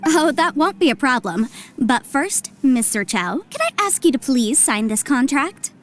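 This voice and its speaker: A woman's voice: high voice, very animated, unhurried, a little hiss or hum. A woman playing a shrewd, confident young legal adviser in an anime-style video game, articulate, explanatory and businesslike. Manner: articulate, explanatory, self-assured. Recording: soft music behind the voice. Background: game music.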